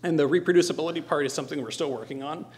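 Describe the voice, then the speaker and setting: A middle-aged man presenting technical material as a conference speaker, hesitant, with frequent ums and pauses. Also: a little high, darkish tone. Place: lecture hall stage.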